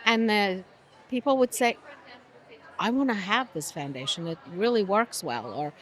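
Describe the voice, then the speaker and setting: A woman's voice: mid-range voice, expressive, a little slow. Older woman from Romania, chatty. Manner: chatty, laughs often, acts out quotes. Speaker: guest, business owner. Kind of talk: casual interview.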